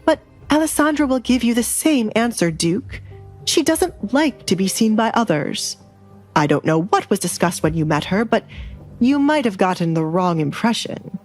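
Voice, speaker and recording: A woman's voice: mid-range voice, sing-song, normal speed. A woman voicing an evasive, obsequious middle-aged baron in a romance audio drama. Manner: deferential, evasive. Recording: background music under the voice.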